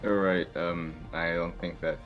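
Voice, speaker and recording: A man's voice: low voice, flat delivery, a little slow, highs cut off, soft background music. A man reading stage directions as narrator in an informal amateur script reading.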